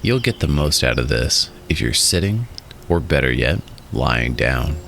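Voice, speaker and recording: A man narrating a guided relaxation in a soothing, instructional way, in short phrases with pauses. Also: extremely low pitch, big pitch swings, creaky, a bit bright, slight background noise. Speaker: guided meditation host. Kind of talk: guided meditation and hypnosis narration. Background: music.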